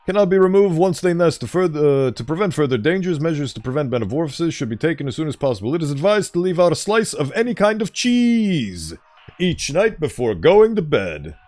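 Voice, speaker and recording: A man with a smooth voice streaming a game, chatting casually and playfully reading game text aloud in a mock-formal tone. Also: a little high, sing-song, fairly quick, a little mellow.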